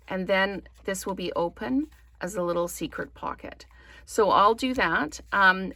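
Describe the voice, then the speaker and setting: A woman hosting a craft tutorial, slightly hoarse, chatting in a casual, apologetic way and thinking aloud with frequent ums. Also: mid-range voice, fairly bright tone, no room sound. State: slightly hoarse, losing her voice. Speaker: crafting channel host.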